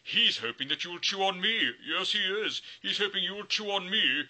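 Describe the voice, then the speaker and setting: A little billy goat with a high, anxious voice, pleading hard, as a man puts him on. The tone is bright and sharp. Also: lively intonation, normal speed, full character voice, rough recording.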